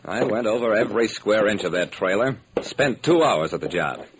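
A man's voice: fairly low pitch, animated, moderate pace, noisy background. A man narrating a scripted radio detective drama in the first person, telling it dramatically. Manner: dramatic storytelling.

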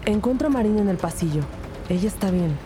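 A woman's voice: medium pitch, animated, fast, noisy background, lightly acted. A woman playing a determined police detective who narrates her case in a scripted audio drama. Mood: tense narrating. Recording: music bed behind the voice.

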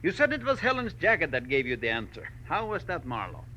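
Bright-voiced, fatherly older boss. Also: on the high side, wildly swooping pitch, brisk, exaggerated voice. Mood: thoughtful, curious. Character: kindly older male diamond-mine boss, rueful and fatherly. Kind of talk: 1940s radio drama acting. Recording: muffled, faintly noisy old radio recording.

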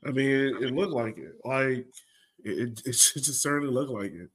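A man hosts a casual soccer fan podcast in an average-pitched voice. He talks bluntly and sounds frustrated as he breaks down his team's play. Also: animated, moderate pace, fairly bright tone, slightly rough. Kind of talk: casual sports recap discussion.

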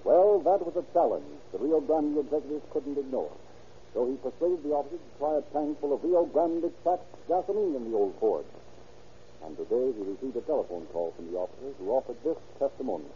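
A man's voice: medium pitch, expressive, fairly quick, mellow, a little hiss or hum. An American man narrating from a script as a formal, dramatic 1930s radio announcer. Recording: tinny 1930s radio recording.

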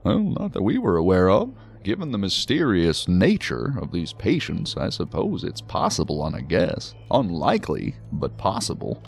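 An actor playing a talkative, learned psychiatrist who muses and explains at length in a science fiction audio drama. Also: male voice, low voice, huge pitch swings, normal speed, vocal fry, hardly any pauses, faint music behind, full character voice. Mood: puzzled. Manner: wordy, musing, explanatory. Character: male psychiatrist, talkative, learned, genially hedging.

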